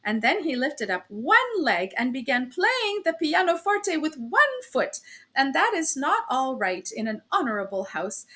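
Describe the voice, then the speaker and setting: A woman's voice: high voice, bright, forceful tone, full character voice. A woman voicing a flustered, fawning German landlady with a German accent who patters out her protests.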